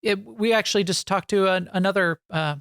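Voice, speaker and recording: A woman interviewer talks casually with a gravelly, creaky edge. She restarts and repeats words as she shapes a thought. The sound is dry, with no room echo. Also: medium pitch, a little fast, a bit bright.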